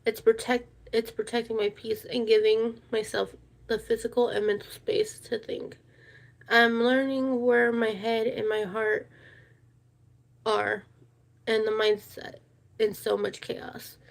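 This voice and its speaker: A woman's voice: on the high side, level tone, slow, creaky. A woman hosting a casual livestream, chatting in a rambling way and repeating her words. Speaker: livestream host.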